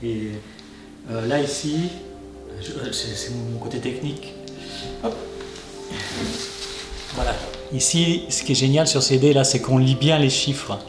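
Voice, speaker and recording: A man's voice: mid-range voice, a little slow, pauses often. A man co-presenting an unboxing video, commenting on the items in casual chat. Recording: roomy echo, music behind. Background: background music.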